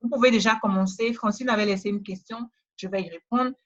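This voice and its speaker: A woman trainer giving a persuasive, repetitive sales pitch in a webinar, speaking straight to the listener, with a West African French accent. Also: steady tone, fairly quick, muffled recording. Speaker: trainer selling a course.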